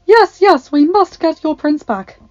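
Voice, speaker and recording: A woman voicing an eccentric, excitable old enchantress who exclaims fussily and dotingly in a storybook reading. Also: on the high side, a little slow, no echo, highs cut off. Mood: excited, doting.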